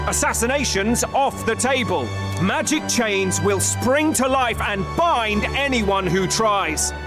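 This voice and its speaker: A man playing a theatrical town crier in a video game, grandiose and showy, drawing out his words for a crowd. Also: extremely high pitch. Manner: grandiose, showman-like, drawn-out delivery. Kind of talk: dramatic video game voice acting. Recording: loud music behind.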